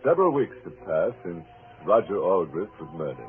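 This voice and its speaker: A man's voice: low voice, very animated, brisk, phone-quality sound, slight background noise. A man delivering scripted narration for a 1940s radio mystery drama. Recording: thin 1940s radio recording.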